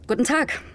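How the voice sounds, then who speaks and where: An actress playing a sharp-tongued female astronaut in a scripted German audio drama. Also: on the high side, a little hiss or hum, heavily acted. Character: irritated, sharp-tongued female astronaut, composed and joking in public.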